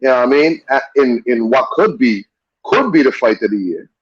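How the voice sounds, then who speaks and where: A man's voice: mid-range voice, lively intonation, normal speed, breathy edge, no echo. A man co-hosting a casual boxing talk show, arguing his opinions bluntly and with emphasis. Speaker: podcast co-host. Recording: muffled dry audio.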